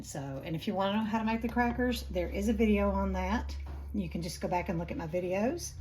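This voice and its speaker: A woman, hosting a home cooking lesson with a chatty step-by-step manner; her voice is raspy from allergies and she breathes heavily. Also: on the low side, darkish tone, a little hiss or hum. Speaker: home cooking channel host. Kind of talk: casual cooking demonstration. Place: home kitchen.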